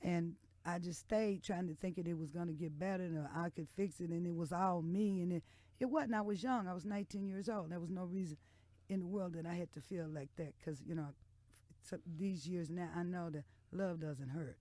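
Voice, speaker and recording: A woman's voice: fairly low pitch, brisk, mellow, no echo. Radio interview guest: American woman, 60s, candid, emphatic, conversational, recorded dry in studio. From Texas and Oklahoma, United States. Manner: frank, outspoken, emphatic. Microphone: studio microphone.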